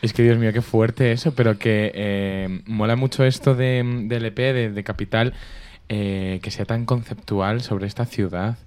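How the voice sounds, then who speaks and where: A man's voice: lowish voice, fairly even intonation, a little slow, a little mellow, clear, resonant tone. A man with a Madrid Spanish accent hosting a casual interview in an effusive, camp, slangy and gushing way. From Madrid, Spain. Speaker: radio or podcast host.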